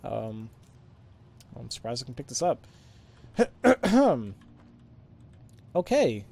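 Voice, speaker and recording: A man's voice: mid-range voice, wildly swooping pitch, extremely slow, vocal fry, a little mellow. A man giving casual let's play commentary, thinking aloud in a rambling, self-deprecating way and mumbling through text he reads. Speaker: let's player.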